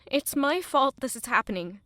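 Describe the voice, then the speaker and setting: A woman's voice: high voice, animated, moderate pace, bright, forceful tone, no room sound. An actress playing a guilt-ridden, selfless young woman in love, speaking earnestly and emotionally in an intimate audio drama. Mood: guilty, anxious.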